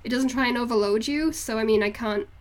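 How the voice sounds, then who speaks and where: Young woman streamer, slightly high voice, mixed northeast english and nz accent, giggly rambly casual chat. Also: quick pace. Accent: hybrid northeast English and New Zealand. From northeast England, moved to New Zealand as a child. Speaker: art livestreamer. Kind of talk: casual livestream chat with viewers.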